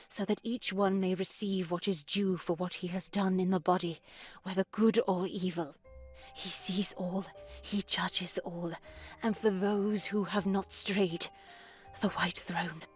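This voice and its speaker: A woman playing a stern, pious older nun in a horror game, preachy and condescending with quiet menace. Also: medium pitch, close to an everyday voice. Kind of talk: dramatic visual novel voice acting.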